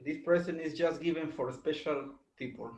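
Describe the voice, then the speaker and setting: A polite, earnest man speaks slowly and hesitantly in an online workshop. His voice is fairly high for a man. It rings in a roomy, echoey space over a video call. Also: a little mellow. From Peru. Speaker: participant in a teachers' workshop.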